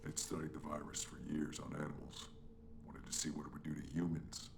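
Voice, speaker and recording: An actor playing a secretive, urgent male informant in a game cutscene, speaking in a deep, gravelly, hushed voice. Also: flat delivery, fairly quick, noisy background.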